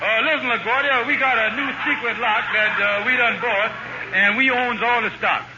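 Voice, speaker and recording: An actor playing a scheming, fast-talking lodge leader in an old radio comedy, blustering and wheedling in folksy ungrammatical talk. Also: male voice, very high voice, steady tone. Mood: eager, plotting. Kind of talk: 1940s radio comedy acting. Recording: muffled old radio broadcast with faint noise.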